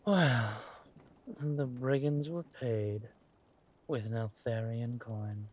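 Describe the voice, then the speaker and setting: A man playing a suave, ruthless villain lord in an audio drama, mock-polite and menacing, with a husky voice and drawn-out delivery. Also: mid-range voice, steady tone, a little mellow, a little hiss or hum, lightly acted. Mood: brooding.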